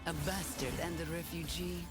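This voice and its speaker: A woman's voice: on the low side, moderate pace, lightly acted. A woman playing a cocky, daredevil bounty hunter in a video game, with a swaggering, teasing delivery. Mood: dry, cocky. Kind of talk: video game character voice lines. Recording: loud music behind game audio. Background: loud game music.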